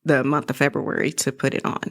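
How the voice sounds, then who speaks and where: A middle-aged woman chatting casually as an interview guest, telling her story in an animated way. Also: rumbling low, brisk, vocal fry.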